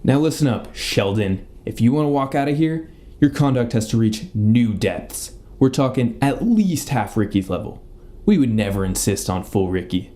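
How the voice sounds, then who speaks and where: A young man playing an officious, deadpan police detective in a comedy radio play. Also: mid-range voice, moderate pace, close to an everyday voice. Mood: deadpan, stern. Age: young adult. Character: deadpan, officious male detective interrogating a suspect.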